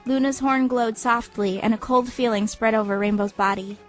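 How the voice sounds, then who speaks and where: A woman's voice: a little high, steady tone, moderate pace, soft background music. A woman reading scripted, dramatic audiobook narration.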